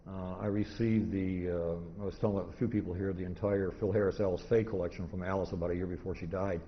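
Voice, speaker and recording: A middle-aged American man presenting an informal lecture at a convention, in a halting, methodical, anecdotal manner. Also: low-pitched, fairly even intonation. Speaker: presenter, old-time radio collector. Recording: dull, noisy live room recording.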